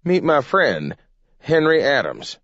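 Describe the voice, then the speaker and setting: Man acting a shrill, feisty old grandfather. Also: huge pitch swings, takes it slowly, a bit bright, no echo, exaggerated voice. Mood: gleeful. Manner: folksy, shrill, crowing. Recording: dull lo-fi recording.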